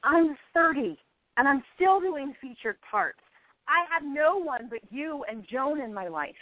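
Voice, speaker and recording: Radio play role: woman as disillusioned struggling actress, thirty, stubborn, high and very expressive, dry tinny audio. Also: a little slow, no room sound. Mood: defiant. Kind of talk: amateur radio play acting. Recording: tinny phone-line internet radio audio.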